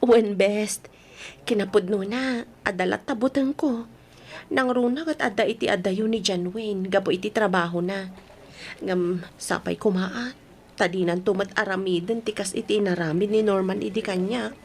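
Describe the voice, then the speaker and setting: A woman's voice: medium pitch, normal speed, a little mellow, a little hiss or hum, lightly acted. A woman playing a smitten, giggly young woman in an Ilocano radio drama, speaking coyly. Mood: smitten, earnest.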